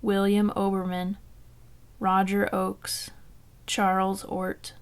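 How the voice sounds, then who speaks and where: Smooth, even-voiced woman slowly reading names. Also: mid-range voice. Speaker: reader of a memorial roll call. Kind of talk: formal read-out list of names.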